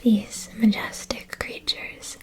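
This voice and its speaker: A woman whispering an ASMR roleplay as a polite, attentive witch-school shopkeeper, explaining in measured, deliberate phrases. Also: a little mellow, natural voice.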